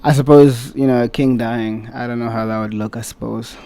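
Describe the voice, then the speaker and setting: A man's voice: medium pitch, steady tone, a little fast, dark tone, soft background music. A man hosting a reaction video, talking casually in a sarcastic, exasperated way and swearing.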